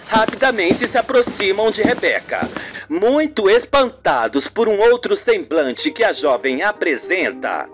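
A narrator with a Brazilian accent, reading a scripted radio soap opera. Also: medium pitch.

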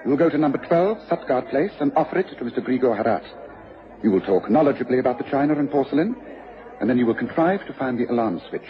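Man doing a quick british gentleman detective, commanding, radio play, muffled noisy sound with crowd behind. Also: mid-range voice, animated, natural voice. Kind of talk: radio drama acting. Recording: dull, noisy radio broadcast, crowd murmur.

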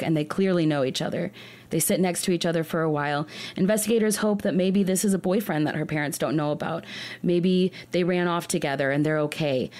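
A woman's voice: low voice, darkish tone. A woman hosting a true crime podcast, telling a case in an earnest way, often restarting her sentences. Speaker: podcast host.

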